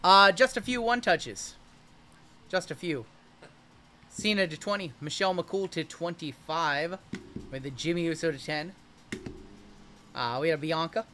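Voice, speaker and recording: Hyped live-stream card break host, a man with a high, bright, strained voice calling out cards. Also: unhurried, pauses often, slight background noise. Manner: hyped, joking, calls out cards as they are pulled. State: voice going hoarse from shouting. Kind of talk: livestream card break commentary.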